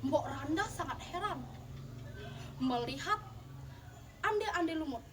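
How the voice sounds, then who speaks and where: A woman's voice: high voice, animated, slow. A young woman telling a folktale in a theatrical, emphatic storytelling style, as if in a contest. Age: young adult. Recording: distant mic, clearly noisy background.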